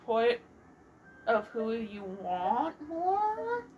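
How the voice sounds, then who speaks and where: A woman's voice: fairly high pitch, very animated, very slow, darkish tone, slight background noise. A woman giving casual let's play commentary, thinking aloud in a hesitant way.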